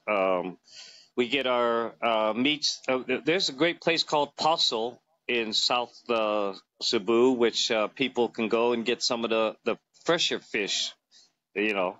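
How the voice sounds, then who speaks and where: A man's voice: medium pitch, bright, forceful tone, dull audio. A man in his fifties chatting as an interview guest, rambling with many fillers and restarts, recorded on a phone held close in a small room. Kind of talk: casual interview chat. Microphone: phone camera close to face.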